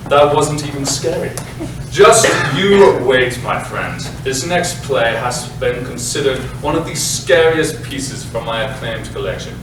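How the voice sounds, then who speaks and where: A man's voice: mid-range voice, natural voice. A man playing a pompous, defensive playwright who addresses the audience in a comic theatrical monologue. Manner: pompous, self-important. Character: pompous, defensive playwright presenting his lurid works. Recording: very echoey noisy hall, far off.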